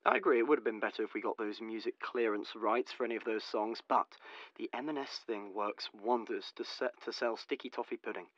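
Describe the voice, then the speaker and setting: An actor playing a soothing, slightly patronising recording director in an audio drama. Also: male voice, lowish voice, brisk, few pauses, a bit bright, no echo, lo-fi sound. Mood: placating. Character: placating, patronising male recording studio director.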